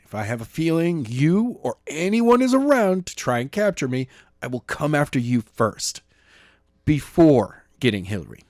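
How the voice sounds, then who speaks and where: A man's voice: on the high side, big pitch swings, moderate pace, a little mellow, dry recording, studio quality, pitched above the performer's own voice. A man reading a novel aloud, voicing a defiant fifteen-year-old boy who makes demands. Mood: commanding.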